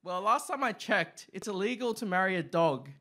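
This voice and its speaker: A young man performing open mic stand-up, with a halting delivery full of ums and uhs, on a small club stage. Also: sits high, expressive, bright, forceful tone. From Australia. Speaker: stand-up comic. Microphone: stand mic, far camera.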